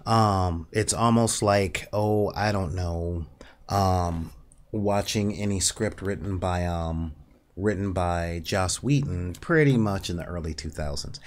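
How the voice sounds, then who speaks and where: Nasal guy in his 40s, slow and kinda low, streaming from a home setup, lots of ums. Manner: unhurried, thoughtful, with many pauses and fillers. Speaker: livestream host. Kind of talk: casual livestream talk about tabletop gaming. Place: small home studio with a neon backdrop. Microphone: studio mic on a desk stand.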